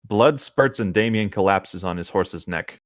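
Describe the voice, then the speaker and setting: A man's voice: lowish voice, no room sound, clean studio sound. A man co-hosting a read-along, narrating fan fiction and commenting on it in a playful, casual way. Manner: amused, playful. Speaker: co-host of a fan fiction reading show.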